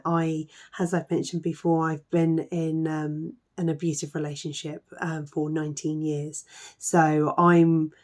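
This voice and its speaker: A hoarse woman with a croaky voice from a cold, talking earnestly as a mindset coach in a casual talk to camera, with frequent fillers. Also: sits low, darkish tone.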